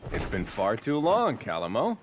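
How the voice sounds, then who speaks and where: An actor playing an easygoing feathered bird-folk warrior in a video game, familiar, wry and unhurried. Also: male voice, medium pitch, huge pitch swings, noisy background. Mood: friendly, wry. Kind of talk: video game cutscene acting. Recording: music behind the voice.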